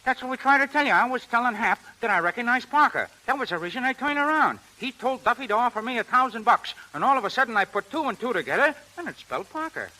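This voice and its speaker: Cocky american boxer, old radio play, rapid, high piercing voice, slangy. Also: male voice, big pitch swings, bright, forceful tone, heavily acted, low-quality recording. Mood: eager, explaining. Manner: slangy, ungrammatical, pugnacious. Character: cocky, scrappy young navy boxer, dim and quarrelsome. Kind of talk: 1930s radio acting.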